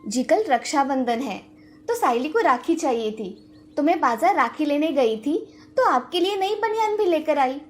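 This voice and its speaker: An actress playing a caring, devoted village wife and mother, speaking softly and practically in an animated moral story. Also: high voice, moderate pace, soft background music.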